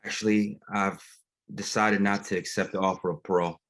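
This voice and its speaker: A man playing a composed, resolute pastor in a naturalistic script read, speaking deliberately and politely. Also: on the low side, level tone, a bit bright, lightly acted. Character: composed, resolute wrongfully convicted male pastor.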